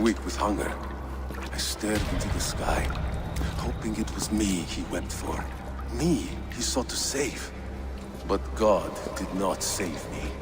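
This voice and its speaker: An actor playing a menacing, devout and cruel crime boss in a video game, with grandiose, poetic, deliberate delivery. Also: male voice, lowish voice. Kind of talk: dramatic video game acting. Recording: music behind the voice.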